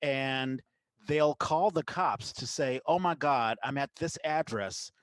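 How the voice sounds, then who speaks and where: A man hosting a podcast, chatting casually about the news in a conversational, somewhat halting way. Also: mid-range voice. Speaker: podcast host.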